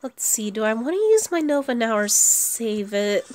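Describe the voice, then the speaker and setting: A woman's voice: a little high, big pitch swings, moderate pace, darkish tone. A woman giving casual commentary on her own gameplay, thinking aloud as she plays. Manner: thinks aloud while playing. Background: video game music.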